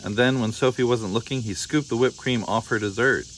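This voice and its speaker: A man narrating a children's picture book in an expressive read-aloud style. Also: mid-range voice, fairly quick, a little hiss or hum, rough recording. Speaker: storybook reader.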